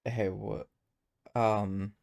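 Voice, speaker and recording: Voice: sits low, little pitch movement, very slow, gravelly, a little mellow. A game streamer giving casual gameplay commentary, musing aloud to themself while playing. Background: game music.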